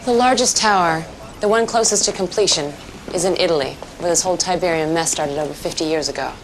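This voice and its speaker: An actress playing a businesslike military intelligence lieutenant giving briefings in a video game cutscene. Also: female voice, fairly low pitch, fast talker, a little hiss or hum, lightly acted, low-quality recording. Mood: brisk, urgent. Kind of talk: dramatic cutscene acting.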